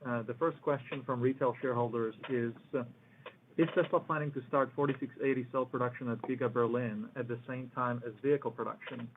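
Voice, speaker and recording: A man's voice: medium pitch, fairly even intonation, fairly quick. Man moderating on a noisy phone line. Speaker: call moderator reading investor questions. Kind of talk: formal question-and-answer moderation.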